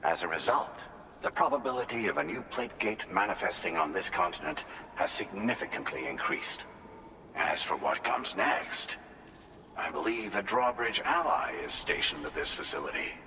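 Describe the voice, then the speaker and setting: An actor playing a formal, measured President, calmly giving congratulations in a video game cutscene. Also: male voice, low-pitched. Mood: stately, approving. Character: calm, formal male President congratulating a courier. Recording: long hall reverb, faint noise.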